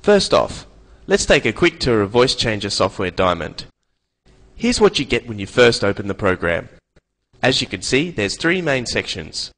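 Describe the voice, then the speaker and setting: A young man narrating a scripted software tutorial in a casual, playful manner. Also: mid-range voice, sing-song, moderate pace, low-quality recording. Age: young adult.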